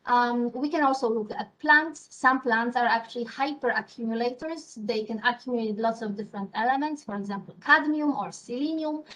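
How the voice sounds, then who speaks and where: A middle-aged woman with a Polish accent, a scientist answering interview questions thoughtfully, with many pauses and restarts. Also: fairly high pitch, fairly bright tone, low-quality recording. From Poland. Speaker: beamline scientist.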